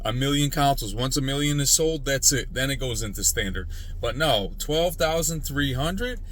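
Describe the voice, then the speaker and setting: A man in his mid forties giving an off-the-cuff rant as a gaming YouTuber, emphatic and repetitive, with halting restarts. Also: mid-range voice, animated. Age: mid 40s. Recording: loud vehicle and outdoor ambient noise.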